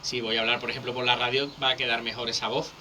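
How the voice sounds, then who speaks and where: Man, speaking coach, lively teacherly explaining, very bright forceful tone, faint background hiss. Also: mid-range voice, rapid-fire. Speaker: public speaking coach, guest. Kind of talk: conversational radio interview.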